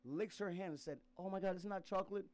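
A man's voice: high voice, quick pace, heavy background noise. A man from South Sudan telling a rambling personal story in casual chat, laughing often and restarting his sentences.

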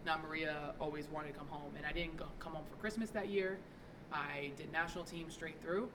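A young adult woman chatting casually as a podcast guest, telling stories in an animated, quick way. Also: low voice, background noise. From New York. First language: Italian. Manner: animated storyteller, quick asides.

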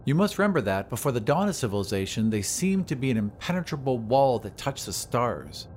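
A man's voice: mid-range voice, sing-song, fairly quick, a little mellow, a little hiss or hum, natural voice. Man voicing a polite, eager woman airship attendant. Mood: wistful. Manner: anxious to please, polite.

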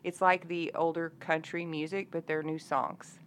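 A woman's voice: sits low, little pitch movement, normal speed, slight background noise. A woman, speaking casually as a singer-songwriter being interviewed. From Arkansas, United States. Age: 50s.

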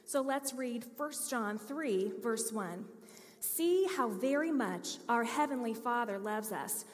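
High-voiced woman preaching in a reverberant hall. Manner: earnest storytelling preacher, lively emphasis. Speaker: pastor. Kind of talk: sermon.

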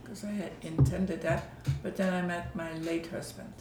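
A woman's voice: lowish voice, level tone, moderate pace, echoey room, background noise. A woman, a guest artist telling her story unhurriedly in casual conversation at her home. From Netherlands. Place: home living room.

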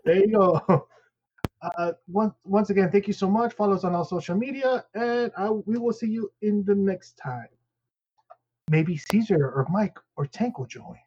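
A man's voice: sits high, moderate pace, darkish tone, husky. A man co-hosting a podcast in casual chat, rambling with many fillers and restarts, English not his first language. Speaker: podcast co-host. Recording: dull lo-fi sound.

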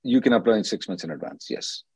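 A man's voice: on the low side, animated, fast, a little mellow, slightly rough, dry recording. A man hosting a call-in as an attorney, advising callers in a blunt, directive way and often checking with "okay?".

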